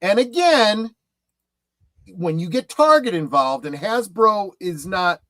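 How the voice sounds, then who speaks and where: A middle-aged man in casual panel chat, speaking in a halting but emphatic way. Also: high voice, animated, bright, forceful tone, no room sound. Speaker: show panelist. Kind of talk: casual chat about collectibles.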